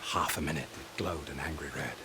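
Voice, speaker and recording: A man playing a grave, formal spymaster of a sun kingdom in a video game, measured and courtly. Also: very deep voice. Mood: grave, wry. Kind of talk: dramatic video game acting. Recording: noisy ambience behind the voice.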